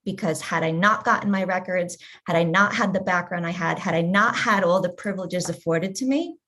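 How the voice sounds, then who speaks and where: A woman in her forties, a patient advocate, telling her personal story in an earnest, measured way on a panel discussion. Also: on the low side, fairly bright tone.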